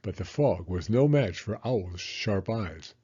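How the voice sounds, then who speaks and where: Mellow, expressive male storybook reader. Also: lowish voice, slow pace, breathy edge, lo-fi sound.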